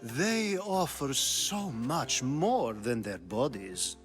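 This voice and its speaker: A languid flirtatious Athenian. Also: male voice, mid-range voice, very animated, slow, fairly bright tone, soft background music. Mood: sly. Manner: teasing, languid, suggestive. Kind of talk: video game cutscene acting.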